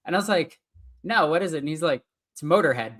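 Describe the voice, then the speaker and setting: A woman with an Icelandic accent and a notably low-pitched voice co-hosts a casual podcast. She talks as an enthusiastic fan, gushing about what she loves and laughing easily. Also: lively intonation, quick pace. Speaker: podcast co-host. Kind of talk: casual chat.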